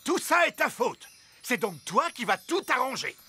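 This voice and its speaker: A woman's voice: high-pitched, sing-song, normal speed, bright, forceful tone, husky, faint music behind, full character voice. A woman playing a stern, bossy fairy queen in a French-dubbed children's cartoon, scolding and commanding.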